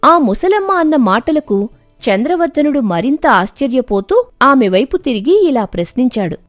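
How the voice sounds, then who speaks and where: A woman's voice: high voice, sing-song, moderate pace, dry recording, faint music behind. A woman narrating a scripted story in a dramatic storytelling style.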